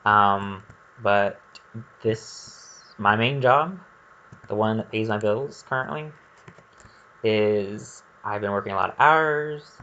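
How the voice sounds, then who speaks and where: A man's voice: on the low side, takes it slowly, sharp tone, highs cut off, slight background noise. A man giving casual, rambling gameplay commentary, thinking aloud in a candid and hesitant way. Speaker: gaming video creator.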